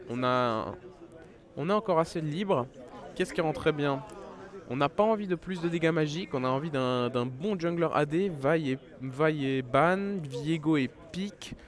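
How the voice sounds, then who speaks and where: A man with a head cold giving live esports commentary, chatty and full of fillers and restarts. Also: fairly high pitch. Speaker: esports caster.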